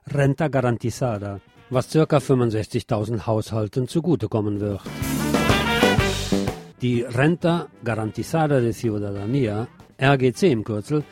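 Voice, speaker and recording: A man's voice: medium pitch, dark tone. A man presenting scripted news with commentary, explaining items in an opinionated way.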